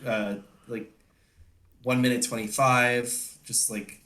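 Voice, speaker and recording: A man's voice: medium pitch, level tone, takes it slowly, a crowd in the background. A man casually asking technical questions as a panelist on a stream. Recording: roomy, echoing microphone sound.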